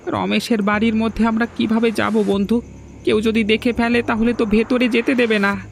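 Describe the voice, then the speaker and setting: A man's voice: high voice, flat delivery, moderate pace, vocal fry, faint music behind. A man playing a timid, earnest young villager in a fairy-tale cartoon, with an anxious, questioning manner. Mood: worried. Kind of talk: dramatic dubbed cartoon dialogue.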